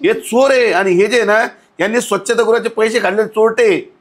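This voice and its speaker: A man's voice: high-pitched, steady tone, a little fast. A man speaks as an outspoken guest in a podcast interview. He is blunt and indignant, scolding and throwing out rhetorical questions as he goes. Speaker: outspoken podcast guest commenting on local civic issues.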